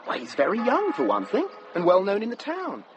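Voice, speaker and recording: An actor playing an eager, frank young monk in a radio drama, earnest and quick to explain. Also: male voice, sits high, huge pitch swings, dull audio, over music. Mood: earnest, curious.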